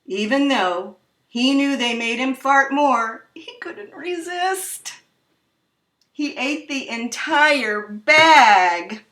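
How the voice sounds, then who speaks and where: A woman reads a children's story aloud with dramatic emphasis, taking her time over the words. Her voice is bright and swings widely in pitch. The recording is roomy and picked up from a distance. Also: animated, very slow. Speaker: storyteller reading a children's picture book aloud. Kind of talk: expressive read-aloud storytelling. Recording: roomy echo, distant microphone.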